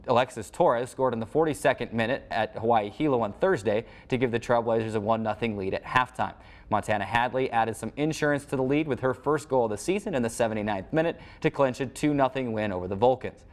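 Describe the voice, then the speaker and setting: An American man hosting a sports show, reading a scripted recap of scores and highlights as an announcer. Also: brisk.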